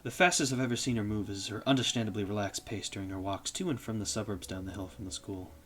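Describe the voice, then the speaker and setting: Young male streamer, low voice, steady story read with small slips. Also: fast, a little hiss or hum. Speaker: streamer reading a visual novel. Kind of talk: reading a visual novel aloud.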